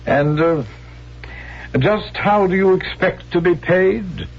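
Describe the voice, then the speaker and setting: An actor in a radio drama playing a sly, callous swindler who talks in a glib, chatty, falsely genial way. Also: male voice, high-pitched, takes it slowly, gravelly, heavily acted. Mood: wary, sly. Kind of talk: 1970s radio drama acting. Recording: dull vintage radio recording, music behind.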